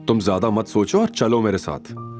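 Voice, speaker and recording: A man playing a caring, self-assured young man in an audio drama, speaking gently. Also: lowish voice, sing-song, moderate pace, music in the background. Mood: calm, assured.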